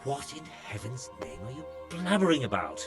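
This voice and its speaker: An actor playing a brusque, shady medieval scribe in a video game, impatient and businesslike. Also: male voice, medium pitch, very animated, moderate pace, music in the background, close to an everyday voice. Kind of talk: video game cutscene acting.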